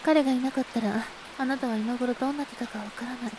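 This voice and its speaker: 女性が演じる高めの声の挑発的で妖艶な女. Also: female voice, on the high side, fairly even intonation, fast, background noise, close to an everyday voice. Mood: smug seduction. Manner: coy, taunting, breathy giggles between phrases. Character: teasing, seductive, spiteful adult woman. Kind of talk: Japanese audio drama acting.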